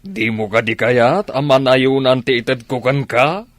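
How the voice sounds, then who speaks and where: A man's voice: medium pitch, measured pace, no room sound, natural voice. A man playing an evasive middle-aged philandering husband in a radio comedy drama. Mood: defensive.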